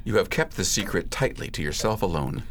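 A woman playing a courteous, hesitant listener who gently probes in a period radio drama. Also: extremely low pitch, expressive, brisk, slight background noise. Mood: sympathetic. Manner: polite, hesitant, probing. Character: attentive, courteous listener, taken aback by a confession.

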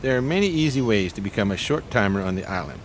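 An actor playing a pompous, genteel homeless schemer in a 1920s radio play, speaking in flowery, courteous phrases. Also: male voice, lowish voice, lively intonation, quick pace, a little hiss or hum, close to an everyday voice. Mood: confident, scheming.

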